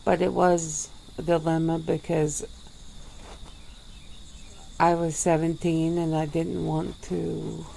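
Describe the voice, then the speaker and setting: A woman voicing a centuries-old female vampire, calm and regretful, speaking slowly and formally in an ASMR roleplay. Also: deep voice, creaky, a little hiss or hum, heavily acted, poor audio. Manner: slow, measured, formal speech.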